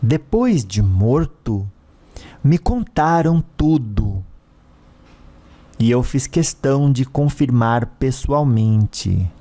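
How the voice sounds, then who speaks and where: A man's voice: medium pitch, very animated, mellow, exaggerated voice. A man voicing a cruel, vengeful dead husband in an audio story, a mocking spirit with a sneering, menacing manner. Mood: bitter, accusing. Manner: menacing, sneering, with drawn-out villain laughs.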